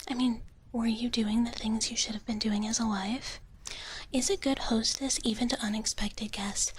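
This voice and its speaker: Soft whispering woman, ASMR streamer. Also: a little high, a little hiss or hum. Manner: playful, speaks of herself in third person. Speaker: streamer performing as a dragon-girl character. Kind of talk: whispered live ASMR stream, casual chat. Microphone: close-up ASMR microphone.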